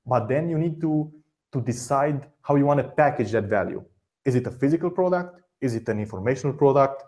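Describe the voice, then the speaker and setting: A man hosting an interview, giving direct, encouraging advice in quick bursts, often repeating words as he talks. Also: medium pitch, expressive, darkish tone. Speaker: podcast host.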